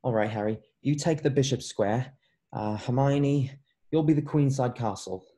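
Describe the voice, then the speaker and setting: A man's voice: mid-range voice, moderate pace, dark tone, lightly acted. A man playing a wry, practical, loyal schoolboy wizard in a screenplay table read. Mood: confident.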